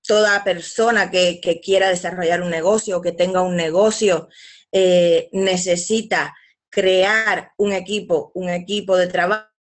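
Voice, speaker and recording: A woman walks through slides in an online business seminar, her pitch sitting in a middle range. She talks in halting stretches, falls back on fillers and restarts her phrases. Her voice comes through a webcam mic on a video call. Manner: hesitant, fills pauses with "pues" and restarts. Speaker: webinar co-presenter.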